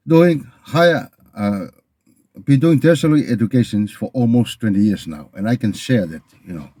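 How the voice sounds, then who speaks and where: Male vlogger, middle-aged, Tongan accent. Dark, relaxed tone, earnest and halting delivery, close dry sound. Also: mid-range voice, slightly rough, dry recording. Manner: earnest, halting, repeats phrases. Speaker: video channel host. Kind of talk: reflective unscripted monologue to camera.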